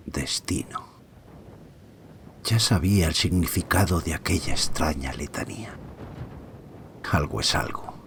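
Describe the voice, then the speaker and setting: A man playing an anxious married father who narrates a mystery audio drama in a brooding, hushed way, often dropping toward a whisper. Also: low voice, full character voice. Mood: ominous whisper, then pensive. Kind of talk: audio fiction first-person dramatic narration.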